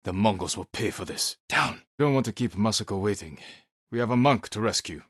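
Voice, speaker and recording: A determined samurai warrior from a video game, speaks in a low, grave voice with a faintly rough grain. The delivery is solemn, measured and terse, recorded close and dry. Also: male voice, lively intonation, a bit bright, no room sound. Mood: urgent, calling out. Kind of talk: dramatic game character dialogue.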